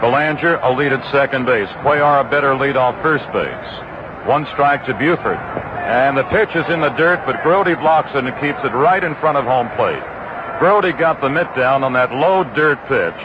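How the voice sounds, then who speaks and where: Man calling baseball on old radio. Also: mid-range voice. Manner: measured, steady, rising on big plays. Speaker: play-by-play announcer. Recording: muffled 1960s radio broadcast with loud noise.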